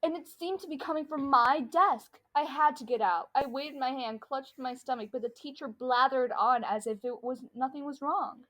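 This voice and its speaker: A woman's voice: high voice, normal speed, few pauses, fairly bright tone. A young girl of about twelve playing a guilt-ridden, paranoid schoolgirl narrator in a theatrical comedic radio drama. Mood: tense. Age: child, about 12 to 13. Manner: theatrical, melodramatic.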